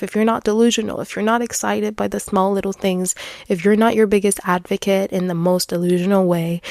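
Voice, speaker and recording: A woman in her twenties whose first language is French talks alone as a podcast host. Her voice sits fairly low and is recorded close and dry. She is chatty and earnest, speaking candidly with a self-deprecating streak. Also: a little mellow, no echo. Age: 20s. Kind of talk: candid solo podcast monologue, casual. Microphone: close podcast microphone.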